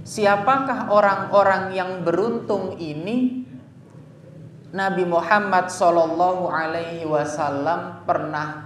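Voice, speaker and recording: Slow-paced Javanese-accented male preacher, echoey. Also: on the high side, a bit bright. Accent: Javanese Indonesian. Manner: addresses listeners directly, with rhetorical questions. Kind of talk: religious sermon to a gathering. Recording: echoey space, faint background noise.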